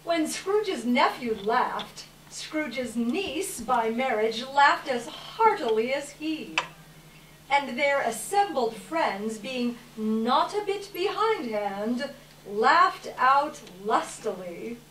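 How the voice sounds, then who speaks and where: A woman reads scripted narration for a live audience reading. Her voice is a little high and very unhurried, with emphatic storytelling phrasing. She is heard from a distance in a roomy space. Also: expressive, fairly bright tone. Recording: roomy, far from mic, faint noise.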